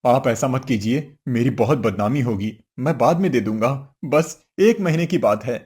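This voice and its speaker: A woman doing a husky, humble old father. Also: very deep voice, expressive, normal speed, mellow, voice pushed down, full character voice. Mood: pleading. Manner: pleading, apologetic. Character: worried, humble older father of the bride.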